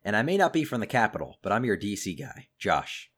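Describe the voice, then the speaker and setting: A young man co-hosting a pop culture podcast while sick, his voice deeper than usual, talking quickly and eagerly. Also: big pitch swings, a bit bright. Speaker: podcast co-host. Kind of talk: casual chat.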